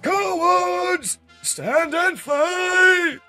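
A man voicing a heroic, wrathful robot commander in slow, dramatic declarations for a comic dub. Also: a little high, expressive, sharp tone, slightly rough, faint music behind, voice pushed up, full character voice. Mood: furious shouting.

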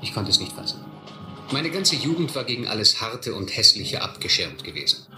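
An actor playing a reflective narrator who recalls his hobo youth in a radio play, measured and literary. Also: medium pitch, very animated, pushed and bright, faint music behind. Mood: troubled. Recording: roomy, clearly noisy radio play recording.

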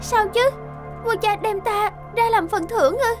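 Indignant girlish princess, piercingly high pitch, dramatic, animated fairy-tale cartoon. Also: measured pace, music in the background. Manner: exclamatory, dramatic. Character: headstrong, indignant young runaway princess.

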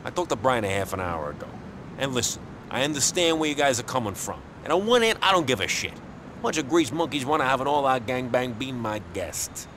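An actor playing a sneering, world-weary middle-aged New York mobster in a video game cutscene, wisecracking and condescending. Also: male voice, sing-song, a little fast, lightly acted. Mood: irritated, bossy. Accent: New York area. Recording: noisy outdoor city street rumble.

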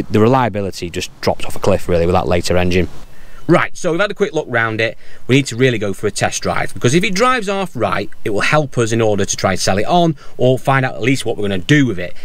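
A British man in his thirties casually reviewing a car while driving, talking in a rambling, chatty way. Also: fairly low pitch. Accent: British English. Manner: chatty, rambling, hedges with "from memory". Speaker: car dealer vlogger. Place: inside a small car, driving. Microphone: camera on dashboard. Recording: car interior with clear road noise. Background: road and engine noise.

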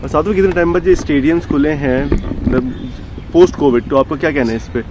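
A man asks short interview questions outdoors, dropping English phrases into his speech. His voice sits a little high, and faint ambient noise surrounds him. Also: fairly quick. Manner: brief questions mixing English. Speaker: reporter.

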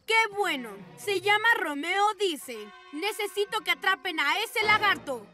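Bossy little mad scientist boy, cartoon villain, latin american spanish, super high and gloaty, takes his time. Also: lively intonation, unhurried. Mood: gloating, scheming. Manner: theatrical, commanding, gloating. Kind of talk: animated cartoon dub acting. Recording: loud music under the voice.

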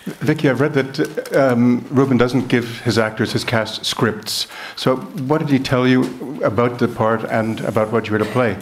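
A man at a press conference, moderating and putting a question, brisk and formal. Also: mid-range voice, a little hiss or hum. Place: festival press conference room.

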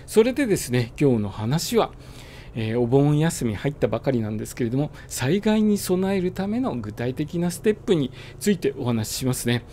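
Male motovlogger, calm chatty explainer, warm mellow tone, light wind hiss under the voice. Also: medium pitch, fairly quick. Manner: relaxed, filler-heavy, explanatory. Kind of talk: casual riding monologue. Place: riding a motorbike on suburban roads.

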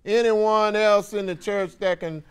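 A folksy American judge, scolds from the bench in a comedy court show. His voice is high and resonant, and he takes his time with every admonishment. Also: fairly even intonation, clear, resonant tone. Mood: firm. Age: middle-aged. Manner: slow, admonishing.

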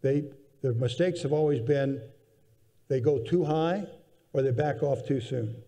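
Older man with a gravelly, mellow voice. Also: mid-range voice. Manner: measured, thoughtful, with frequent restarts. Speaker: panel guest. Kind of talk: conference panel discussion. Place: large conference hall stage, seated in armchair. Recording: reverberant convention hall.

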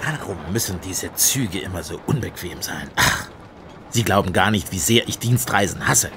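An actor playing a blunt, sardonic, sceptical male police inspector in a radio play. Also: moderate pace. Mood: grumbling. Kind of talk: German radio play dialogue. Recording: train rumble behind the voice.

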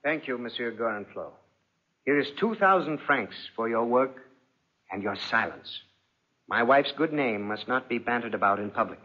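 A suave, cruel, husky-voiced husband. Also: male voice, mid-range voice, animated, normal speed. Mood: cold formality. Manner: suave, mock-tender, pompous. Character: coldly cruel, mocking, genteel vengeful husband. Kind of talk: 1940s radio drama acting. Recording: muffled, dull 1940s radio recording.

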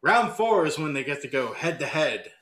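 A man's voice: on the high side, very animated, moderate pace, a crowd in the background, low-quality recording. A man moderating and judging a debate in a casual hosting style.

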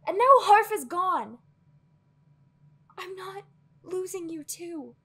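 A woman playing a weary, guarded sorceress in an audio roleplay, earnest and anxious, with a breathy, husky voice and hesitant, sighing phrasing. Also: extremely high pitch, animated, slow, pushed and bright, slight background noise, exaggerated voice. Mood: pleading, upset. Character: weary guarded female sorceress, anxious, fiercely logical, secretly lonely. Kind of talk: dramatic audio roleplay acting.

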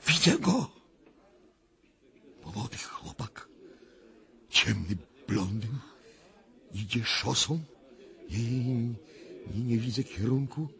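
A solemn, intense clairvoyant. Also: male voice, medium pitch, expressive, creaky, fairly bright tone, full character voice. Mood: entranced, alarmed. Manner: deliberate, dramatic, with weighty pauses. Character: male clairvoyant, solemn, intense, mysterious seer. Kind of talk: 1990s radio drama acting.

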